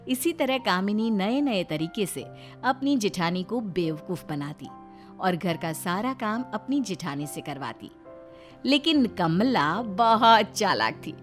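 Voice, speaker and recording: A woman narrating a scripted family story as a storyteller. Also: mid-range voice. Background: music.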